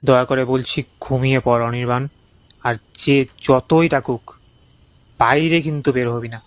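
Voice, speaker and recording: A man's voice: mid-range voice, steady tone, measured pace, no echo, lightly acted. A man playing an anxious, protective young villager who warns his friend urgently and insistently in a cartoon ghost story. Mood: anxious warning.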